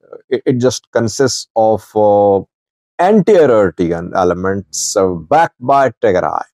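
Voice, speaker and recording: Male news commentator, middle-aged. Soft-edged tone, halting short phrases, fairly dry close clip-on sound. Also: fairly low pitch, no room sound. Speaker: news commentator, channel host. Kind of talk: informal political news commentary to camera. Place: small indoor office with wood-panelled wall. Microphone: small clip-on lavalier at chest.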